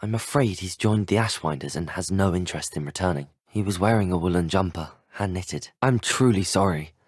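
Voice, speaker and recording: Teenage British schoolboy wizard, low voice, well-spoken, earnest, dry studio sound, game dialogue. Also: male voice, a little fast, breathy edge, no room sound. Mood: sombre, regretful. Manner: well-spoken, courteous, formal. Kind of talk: scripted video game dialogue.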